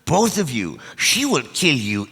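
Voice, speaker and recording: A man's voice: a little high, wildly swooping pitch, measured pace, vocal fry, pushed and bright, breathy edge, heavily acted. An actor playing a frightened, scheming old henchman in a stage radio play, pleading and repeating himself in formal phrases. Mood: urgent, pleading. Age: older. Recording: echoey stage hall recording.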